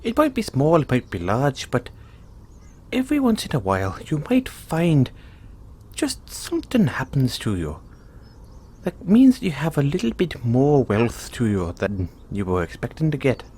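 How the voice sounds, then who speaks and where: A man's voice: medium pitch, huge pitch swings, unhurried, a little mellow, slightly rough, heavily acted. A man voicing a kindly, playful leprechaun in an audio roleplay, folksy and reassuring. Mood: cheerful, generous. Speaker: roleplay performer. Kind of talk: audio roleplay, speaking softly to one listener.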